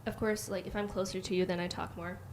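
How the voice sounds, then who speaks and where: A young woman hosting a casual chat, soft-spoken and asking her guests questions; she grew up speaking Mandarin at home. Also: fairly low pitch, flat delivery, fast talker, slight background noise. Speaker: host.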